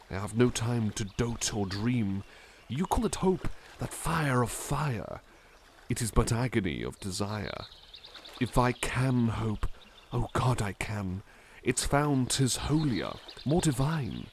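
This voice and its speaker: Man acting as a slow, gravelly, gentle butler. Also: fairly low pitch, a little hiss or hum. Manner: gentle, unhurried, reflective. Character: genteel, attentive male butler, gently enthusiastic about poetry. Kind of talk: soft-spoken ASMR roleplay with poetry readings.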